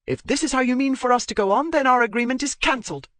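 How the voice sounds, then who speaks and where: A woman's voice: lowish voice, lively intonation, normal speed, dry recording, pitched above the performer's own voice, natural voice. A man voicing a fiery, strong-willed young woman who speaks in a clipped, formal way. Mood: indignant. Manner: indignant, clipped formal speech.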